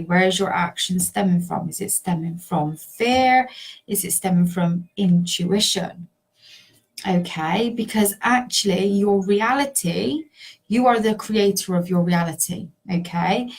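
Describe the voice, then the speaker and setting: A woman in her thirties talks slowly and unhurriedly, her voice sore and a little hoarse. She is a life coach chatting to her viewers in a loose, rambling way, full of ums and small laughs. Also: mid-range voice, rough recording. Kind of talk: casual talk to camera.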